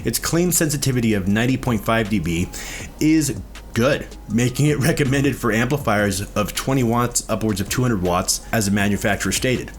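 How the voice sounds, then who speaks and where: A man's voice: medium pitch, normal speed, slight background noise. A man reading a scripted product review as a narrator and reviewer.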